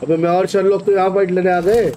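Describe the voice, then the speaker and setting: Indian guy gaming chat, talks fast n high, bit of noise behind. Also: flat delivery, mellow.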